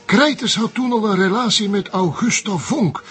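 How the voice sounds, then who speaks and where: A man playing a cautious old retired journalist in a TV crime drama, with a Flemish accent and a measured, wry way of talking. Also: sits high, a bit bright. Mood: discreet, gossiping. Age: older.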